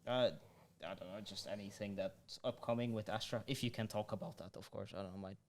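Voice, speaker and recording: A man's voice: lowish voice, steady tone, fairly quick, background noise. A man hosting a podcast interview, hesitant and stammering, repeating words as he asks his questions. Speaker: podcast host. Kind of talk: casual interview chat.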